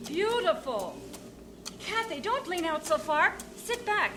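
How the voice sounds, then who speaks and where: A woman playing a doting, watchful mother in an audio drama, gently coaxing. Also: very high-pitched, very animated, takes it slowly, noisy background, heavily acted. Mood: admiring, coaxing.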